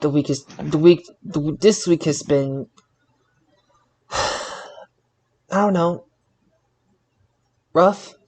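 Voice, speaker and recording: Halting male podcast host, casual chat. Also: a little high, darkish tone. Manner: halting, repeats words while searching for phrasing.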